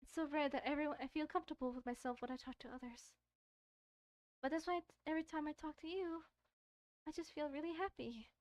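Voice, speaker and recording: A woman's voice: high voice, brisk, no room sound, natural voice. A woman voicing a shy, bookish, self-doubting schoolgirl from a visual novel, hesitant and earnest.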